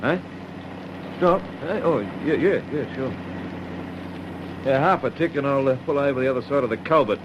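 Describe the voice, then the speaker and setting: An actor playing an easygoing country taxi driver. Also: male voice, medium pitch, normal speed, pauses often, natural voice. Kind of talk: radio drama acting. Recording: muffled, noisy old radio drama.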